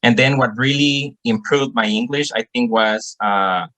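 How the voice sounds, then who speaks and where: A young man with a Salvadoran Spanish accent speaks in a bright, ringing voice from a video call. He talks earnestly, repeating words as he looks for the right phrasing. His pitch sits mid-range for a man. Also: unhurried. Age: young adult. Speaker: guest student. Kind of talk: answering questions on a video call.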